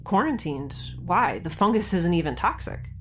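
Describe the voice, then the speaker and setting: A woman's voice: fairly low pitch, big pitch swings, normal speed, background noise. A woman playing a quirky young scientist in a science fiction audio drama, informal and wry with a slightly hesitant delivery. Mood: anxious, focused.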